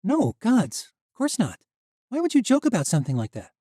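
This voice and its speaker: Audiobook: male reader as a raw, fiery young woman, high and mellow-edged, lively pitch. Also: no echo, studio quality, full character voice. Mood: worried. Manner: sharp, pleading, quick to anger. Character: fierce grieving young woman warrior. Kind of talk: audiobook character voice.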